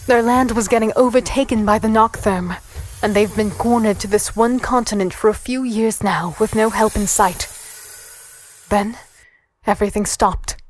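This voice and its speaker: A woman's voice: medium pitch, moderate pace, a little hiss or hum, close to an everyday voice. A woman playing an earnest human heroine, narrating her story in the first person with dramatic intensity for a fantasy audio drama. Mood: awed, grave.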